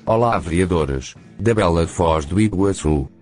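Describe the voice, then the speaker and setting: A man voicing a deep, curt poetry robot. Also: expressive, unhurried, a little mellow, faint music behind. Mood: reciting. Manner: curt, humorless, literal replies. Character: stiff literal-minded male poetry robot. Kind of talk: radio comedy sketch.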